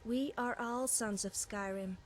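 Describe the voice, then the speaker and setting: Actress as female bard, higher pitch, proud, lofty, fantasy game character. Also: measured pace, no echo, close to an everyday voice. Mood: proud, composed.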